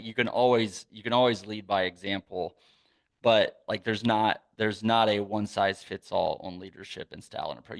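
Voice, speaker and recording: An American man with a fairly low voice hosts a casual interview. He speaks conversationally, with an encouraging, motivational bent, as a podcast host would.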